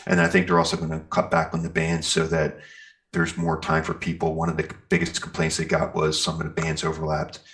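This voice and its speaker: A man's voice: a bass voice, monotone, fairly quick, a bit bright. A man chatting casually and enthusiastically about life in a band, in an informal, rambling way. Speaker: guest, metal band singer and guitarist. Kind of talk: casual chat, telling band anecdotes.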